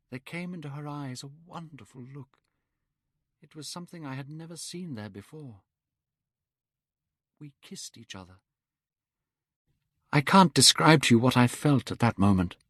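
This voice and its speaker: A man's voice: medium pitch, lively intonation, moderate pace, long pauses, a bit bright, dry recording, clean studio sound, voice pushed up. A man voicing a giddy lovestruck young man.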